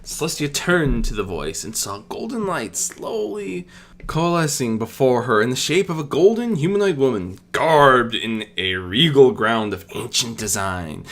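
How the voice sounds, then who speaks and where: A storyteller narrates scripted fantasy prose. The delivery is expressive, with pitch that rises and dips a great deal and pauses placed for drama. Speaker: audiobook narrator.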